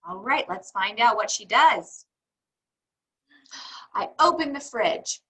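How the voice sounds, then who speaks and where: A woman reading a storybook to young children, encouraging them and pausing for answers to her questions. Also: a little high, expressive, sharp tone, breathy edge, poor audio. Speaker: read-aloud teacher for young children.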